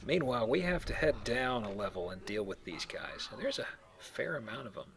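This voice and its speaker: A man's voice: mid-range voice, very animated, brisk, creaky, crowd noise. A man hosting a let's play, explaining things casually with a joking manner. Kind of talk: casual game commentary.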